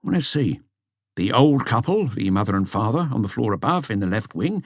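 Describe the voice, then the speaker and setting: A man's voice: mid-range voice, big pitch swings, moderate pace, no echo, studio quality. A man voicing a hesitant, deferential police inspector giving a report in an audiobook. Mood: matter-of-fact, hesitant. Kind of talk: audiobook character dialogue.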